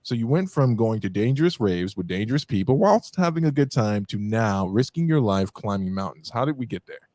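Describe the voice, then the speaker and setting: Host role: man, casual and joking, animated mid-pitched delivery, very dry small-studio sound. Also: a little fast, dry recording. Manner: informal, joking, slangy. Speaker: interview host. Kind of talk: casual chat. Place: small home studio in a finished basement.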